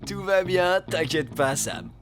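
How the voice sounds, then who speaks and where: A man's voice: high voice, slow. A man voicing a cocky, easily rattled fox who poses as a pet dog in a French children's cartoon, with exclamatory delivery. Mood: reassuring, defensive. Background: cartoon music.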